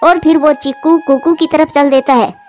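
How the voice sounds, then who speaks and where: A female storyteller with a high voice narrates a scripted children's cartoon tale. Soft music runs underneath her reading. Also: steady tone, moderate pace.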